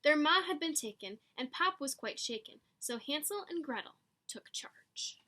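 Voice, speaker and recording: A woman's voice: high-pitched, lively intonation, normal speed, sharp tone, a little hiss or hum. A woman reading a picture book aloud to children with dramatic emphasis and teacherly asides. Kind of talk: expressive read-aloud storytelling with asides to children.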